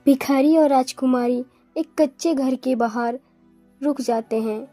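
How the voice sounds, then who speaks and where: A woman's voice: sits high, a little slow, warm tone, dry recording, faint music behind. A woman narrating a scripted children's fairy tale.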